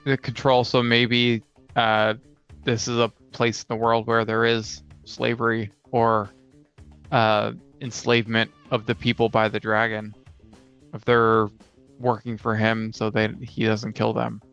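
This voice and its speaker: A man speaks in a flat, gravelly yet resonant voice on a slightly dull, lo-fi recording. He is a co-host casually brainstorming fantasy stories, pausing as he assembles each idea. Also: lowish voice, soft background music. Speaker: podcast co-host. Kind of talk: casual creative brainstorming about tabletop fantasy lore. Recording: dull lo-fi sound.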